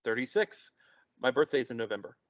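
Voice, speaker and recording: A man's voice: medium pitch, expressive, fairly quick, no room sound, close to an everyday voice. Sheltered adult son, read by a man: naive, obedient, short simple replies, dry slightly muffled sound. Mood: meek, naive. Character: childlike, obedient thirty-six-year-old sheltered son. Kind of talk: script table read.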